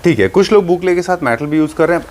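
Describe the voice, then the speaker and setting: A man's voice: a little high, expressive, racing, a little mellow. A man with an Indian accent hosting a conversational showcase, explaining to viewers and mixing Hindi with English as he addresses them directly. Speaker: host of a furniture showcase show.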